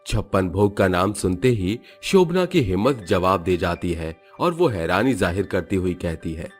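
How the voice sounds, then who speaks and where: A man's voice: low voice, expressive, normal speed, soft background music. A man doing scripted storybook narration for cartoon tales.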